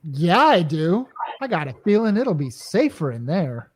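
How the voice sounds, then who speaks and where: A man's voice: fairly high pitch, big pitch swings, unhurried, slightly rough, exaggerated voice. An actor playing a harried, wisecracking repairman with slangy, scheming patter in an old-time radio comedy. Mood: resigned, relieved. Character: Caldwell, wisecracking repairman, a harried man scheming to save himself.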